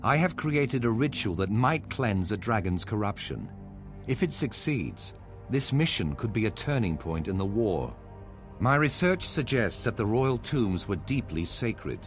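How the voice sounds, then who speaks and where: An actor playing a solemn, scholarly plant-folk marshal in a video game cutscene, speaking in an earnest, formal, measured way. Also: male voice, fairly low pitch, big pitch swings. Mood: resolute, formal. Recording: voice over loud game music.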